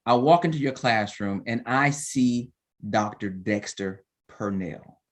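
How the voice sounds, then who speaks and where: A man's voice: medium pitch, husky. A man hosting a podcast, asking open questions in an encouraging way. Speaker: podcast host. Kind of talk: interviewing, casual chat.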